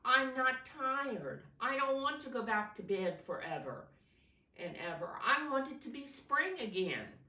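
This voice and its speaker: A woman's voice: a little high, animated, unhurried, bright, forceful tone, pitched above the performer's own voice. A woman voicing an eager, childlike young female bear in a children's storybook, with dramatic delivery. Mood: upset. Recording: roomy echo, distant microphone.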